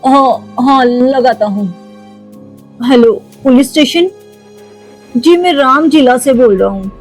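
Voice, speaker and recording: Compliant household man for a cartoon, read by a woman; high, courteous voice. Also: slow, faint music behind. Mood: obliging. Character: worried, obliging man of the house.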